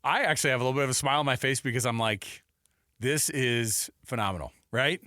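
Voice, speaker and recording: Man, American accent, sports radio host, mid-pitched voice, casual slangy banter, animated, close dry studio mic. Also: fast, no room sound. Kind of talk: live sports talk radio banter and ad reads. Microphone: close studio microphone.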